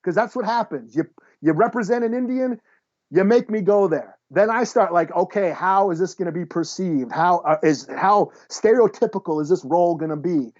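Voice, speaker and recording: High-pitched, blunt man in casual chat. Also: moderate pace, darkish tone, highs cut off. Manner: blunt, profane. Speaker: podcast co-host.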